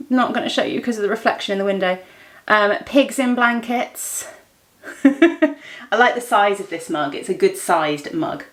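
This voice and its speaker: A middle-aged woman talks as if to friends in a casual daily vlog. Her voice sits at an ordinary pitch, and she wanders informally through her thoughts as she speaks. The sound is roomy, with clear echo from an indoor space at home. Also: a bit bright. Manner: informal, rambling, thinking aloud. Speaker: vlogger and host of a daily family and craft vlog. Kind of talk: casual chatty vlog to camera. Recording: roomy, echoey indoor room.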